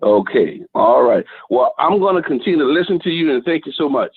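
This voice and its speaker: Tinny phone line, American man, high husky voice, lively swooping intonation, casual chat. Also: fairly quick.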